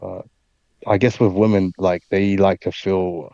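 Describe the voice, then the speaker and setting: A man who is a guest on a casual online chat, talking in a laid-back, halting way over a dull lo-fi mic. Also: deep voice, flat delivery, dark tone, no echo.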